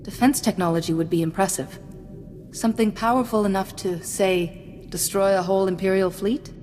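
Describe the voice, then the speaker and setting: Woman voicing a calm polite jedi in a game, medium pitch, echoey hall, music under it. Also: close to an everyday voice. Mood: thoughtful. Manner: composed, measured, polite. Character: calm, courteous, supportive female Jedi diplomat. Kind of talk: scripted video game acting.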